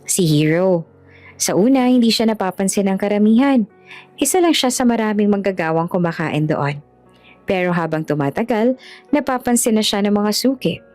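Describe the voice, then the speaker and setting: A woman's voice: mid-range voice, animated, moderate pace, a little mellow, no echo. A woman reading a scripted romance story as the narrator of an audiobook. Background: background music.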